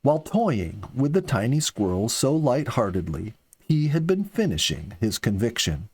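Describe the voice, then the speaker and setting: A man hosting and reading a family audiobook as narrator, in a measured, dramatic storytelling delivery. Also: mid-range voice, very animated, darkish tone, slightly rough. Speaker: storyteller and host.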